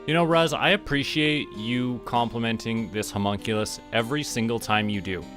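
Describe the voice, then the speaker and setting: A man's voice: mid-range voice, normal speed. A man chatting casually on a gaming livestream, thinking aloud as he plays. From Canada. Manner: musing, talking to himself. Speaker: streamer. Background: clear background music.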